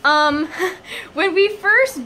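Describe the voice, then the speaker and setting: Bubbly high-voiced young woman vlogger. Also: drawn-out words. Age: young adult. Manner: bubbly, giggly, excitable. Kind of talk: casual vlog chat. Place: car, then small hotel room. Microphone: phone held at arm's length.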